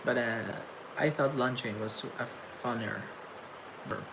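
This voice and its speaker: A young man talks on a casual livestream in a mid-pitched voice, halting and restarting his words as he stutters through reflective chat. A clear hiss of background noise sits under his voice, picked up by a phone or webcam mic. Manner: reflective and chatty. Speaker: livestream host. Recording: noisy room tone.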